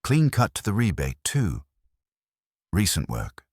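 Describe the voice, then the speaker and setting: A man playing an observant, quietly musing police subordinate in a mystery audiobook, making understated remarks. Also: deep voice, wildly swooping pitch, unhurried, slightly rough, dry recording, studio quality, voice pushed up, heavily acted.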